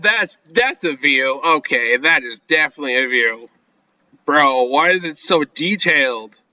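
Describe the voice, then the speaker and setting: Male let's-player, forward bright tone, informal read-aloud of visual novel text with off-the-cuff reactions, very dry recording. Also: on the high side, no room sound, low-quality recording. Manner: informal, reacts with asides between read lines. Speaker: video game let's-player. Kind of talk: reading visual novel script aloud with casual commentary.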